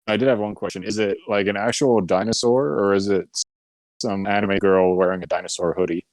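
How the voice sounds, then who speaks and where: Male podcast co-host with a fairly low, dry-recorded voice. Casual, jokey, exclaims readily. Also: steady tone, fairly quick, a little mellow, dry recording. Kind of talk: casual chat, reacting and joking.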